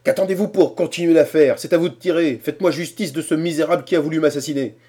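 A man voicing a furious old English gentleman who rants shrilly in outrage. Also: a little high, fairly even intonation, fast talker, slightly rough, voice pushed up. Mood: vengeful.

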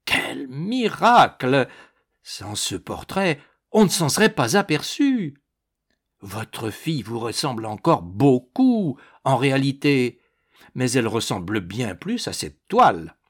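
A man voicing an effusive, astonished society duchess in an audiobook, gushing and exclaiming with drawn-out words. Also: medium pitch, very animated, slightly rough, full character voice. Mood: amazed, gushing. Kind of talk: audiobook character acting.

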